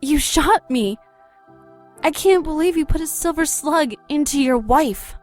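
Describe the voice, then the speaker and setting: A woman's voice: high voice, animated, unhurried, darkish tone, faint music behind. A woman playing a hungry vampire wife in an audio drama, coaxing and mocking her husband. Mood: accusing. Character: seductive, taunting vampire wife, hungry and pleading.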